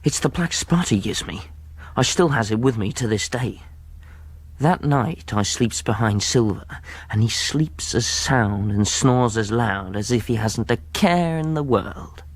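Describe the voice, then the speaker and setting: A man's voice: medium pitch, lively intonation, a little slow, husky, dry recording. An actor playing an honourable cabin boy in a radio drama, telling his adventure earnestly. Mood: reflective. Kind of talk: radio drama narration and dialogue.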